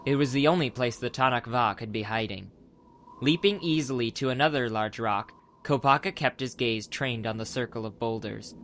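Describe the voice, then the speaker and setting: A man's voice: medium pitch, normal speed, fairly bright tone, slight background noise. A man narrating a dramatized adventure audiobook from a script as the storyteller.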